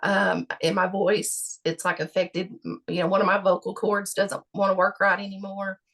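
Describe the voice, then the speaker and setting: A woman with a gravelly voice answering interview questions about her health, halting and full of fillers. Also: mid-range voice, little pitch movement, fairly bright tone. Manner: halting, many fillers and restarts. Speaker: patient guest.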